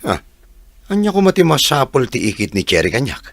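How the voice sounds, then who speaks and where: An actor playing an evasive engineer boss with an Ilocano accent in a radio comedy-drama. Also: male voice, mid-range voice, wildly swooping pitch, brisk, no echo. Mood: amused, evasive.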